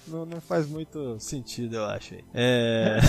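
A man's voice: medium pitch, unhurried, vocal fry, no echo. A Brazilian man co-hosting a podcast, talking casually in an informal, slangy fan's manner. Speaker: podcast co-host. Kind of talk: casual chat.

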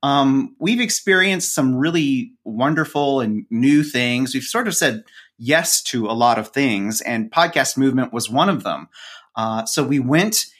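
A man's voice: medium pitch, expressive, unhurried, a bit bright. A man speaking casually as an interviewed podcast co-host, with a wry, dryly humorous way of talking.

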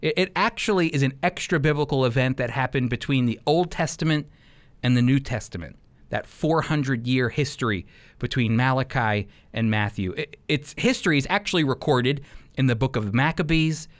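A man's voice: medium pitch, moderate pace. A man giving sermon-like Bible teaching on a radio program, speaking emphatically and addressing listeners directly.

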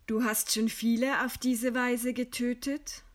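An actress playing a proud, scornful woman writer facing execution in a radio play, with a deliberate, ironic delivery. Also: fairly high pitch, little pitch movement, lightly acted. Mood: cool irony. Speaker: radio drama actor. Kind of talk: German radio play acting.

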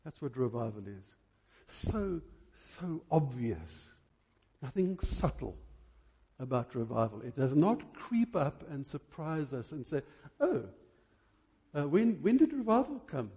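A male preacher with a slightly high-pitched voice that is soft-edged and husky. He delivers his church sermon emphatically and dramatically, leaning on deliberate repetition to drive each phrase home. The tone stays mellow even as he stresses his words.

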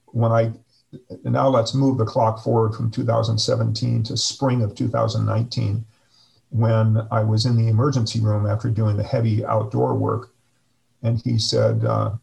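Gravelly middle-aged man, slow and measured. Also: fairly low pitch, darkish tone, poor audio. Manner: slow, measured, hesitant with many fillers. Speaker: interview guest, district superintendent. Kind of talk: reflective interview over video call. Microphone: video call webcam.

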